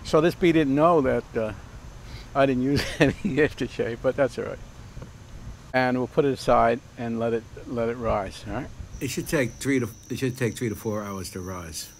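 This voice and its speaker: A man's voice: medium pitch, moderate pace, creaky. Older man, chatty cooking host, rambling, outdoors, lapel mic, faint outdoor noise. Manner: rambling, chatty, full of fillers and restarts. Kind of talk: casual cooking demonstration. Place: outdoor backyard by a fence.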